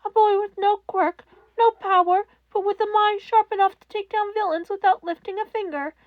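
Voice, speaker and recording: A woman voicing a small, stern old mouse principal in a fan-fiction reading, cold, polite and cutting as he makes deliberate accusations. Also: extremely high pitch, fairly even intonation, dry recording, phone-quality sound, pitched above the performer's own voice. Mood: furious. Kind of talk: dramatic audiobook-style fan fiction character reading.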